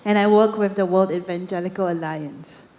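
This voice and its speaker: A woman from Singapore co-hosting a church conference, talking in a clear, conversational way. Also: lowish voice, fairly even intonation, normal speed, darkish tone. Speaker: conference emcee.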